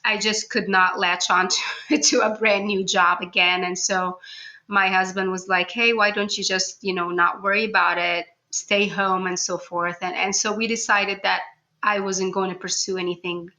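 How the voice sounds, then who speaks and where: A woman speaks as an interview guest in a casual, chatty way. Her voice is bright and crisp-edged, sitting at an ordinary middle pitch. She keeps the wording informal and conversational. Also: little pitch movement, moderate pace, poor audio. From Egypt. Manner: chatty, informal, often laughs. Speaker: podcast guest, food blogger.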